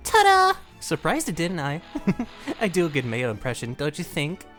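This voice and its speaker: A woman voicing an impish male jester made of dark matter, giggly with singsong teasing, in a fan audio drama. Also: deep voice, huge pitch swings, moderate pace, soft background music, heavily acted. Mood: gleeful.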